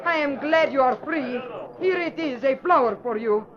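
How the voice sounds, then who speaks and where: A woman's voice: high voice, lively intonation, normal speed, some chatter behind. An actress playing a stout, pushy elderly woman in old-time radio style. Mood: eager, pushy. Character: stout elderly foreign woman, pushy devoted spy messenger. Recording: dull vintage radio recording.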